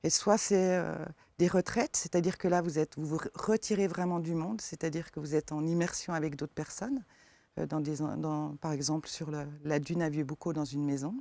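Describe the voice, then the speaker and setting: Guest spot: woman with an eastern French accent, gentle and halting, a little creaky, dry studio sound. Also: low voice, fairly even intonation, no echo. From eastern France. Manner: soft-spoken, hesitant with many fillers. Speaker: forest therapy guide. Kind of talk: interview answers.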